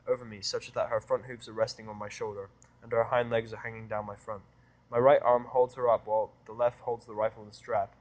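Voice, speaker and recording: A man narrating a first-person fan fiction audio drama in a wry, world-weary, expressive way. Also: medium pitch, low-quality recording.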